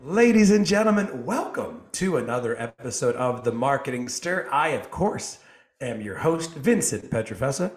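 A man hosting a podcast, full of playful, self-mocking banter and showman-like flourishes. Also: mid-range voice. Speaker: podcast host. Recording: roomy echoey sound.

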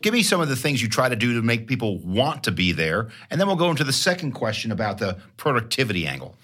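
A man's voice: medium pitch, fast. A man hosting a podcast, reading a polished scripted intro and then asking interview questions. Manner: polished, expressive delivery. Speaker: podcast host.

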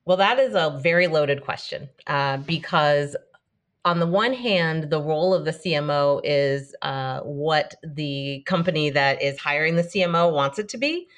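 Woman with a really low voice, marketing exec on a podcast, thoughtful and measured. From born in Denmark, raised partly in Israel and the United States. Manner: thoughtful, measured, some restarts. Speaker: marketing executive guest. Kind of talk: conversational interview.

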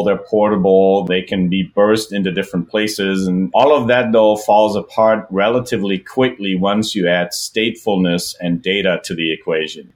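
Male podcast host, low voice, relaxed, thinks aloud in long questions. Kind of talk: podcast interview hosting.

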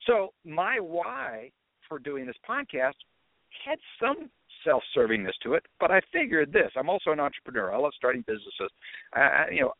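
A man's voice: fairly high pitch, vocal fry. Middle-aged male podcast host, chatty and meandering, thin phone-quality sound with no room echo. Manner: rambling, conversational storytelling. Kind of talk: casual monologue. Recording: tinny phone-like dry audio.

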